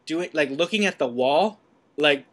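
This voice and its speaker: A man's voice: on the high side, animated, unhurried, a bit bright. A man hosting an interview, asking long rambling questions in a chatty way and laughing easily. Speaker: host. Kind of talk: casual interview chat.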